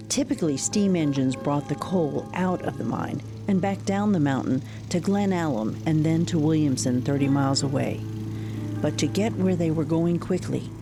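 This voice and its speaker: A woman giving measured, scripted narration for a radio drama. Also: low-pitched. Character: measured female storyteller narrator. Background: music.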